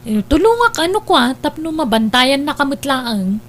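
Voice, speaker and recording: A woman's voice: high voice, sing-song, moderate pace, fairly bright tone. A woman playing a frank, inquisitive and protective older sister in an Ilocano drama, with an Ilocano accent. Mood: frank, teasing. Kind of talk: Ilocano radio-style family drama acting. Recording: noticeable hum behind voice.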